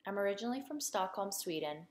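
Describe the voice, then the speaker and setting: A woman's voice: mid-range voice, expressive, quick pace, a little hiss or hum. A woman in her thirties who grew up in Buffalo, New York, an eye surgeon, introducing herself in a friendly way. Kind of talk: read-aloud self-introduction.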